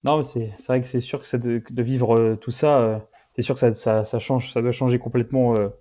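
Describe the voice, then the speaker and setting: A man's voice: mid-range voice, fairly even intonation, a little fast, mellow, highs cut off. A man interviewing casually, feeling his way through unfinished, trailing sentences. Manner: tentative, trailing sentences, frequent backchannels.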